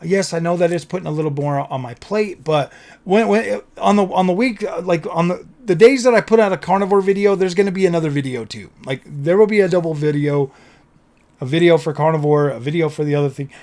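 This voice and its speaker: A man talking casually to his viewers as a gaming content creator, slightly hoarse, rambling and repeating phrases in an earnest, enthusiastic way. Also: fairly high pitch, fairly quick, a little mellow. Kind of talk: casual vlog talk to viewers.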